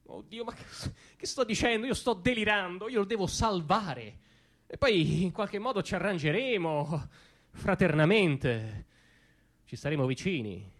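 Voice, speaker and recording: Stage monologue: actor as anxious, conflicted male dreamer, high voice, unhurried halting delivery full of nervous repetition. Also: lively intonation. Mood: anxious wavering. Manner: agitated, halting, repeats himself, swings from muttering to cries. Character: anxious, conflicted dreamer, a man torn between conscience and panic. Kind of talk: dramatic comic theatre monologue.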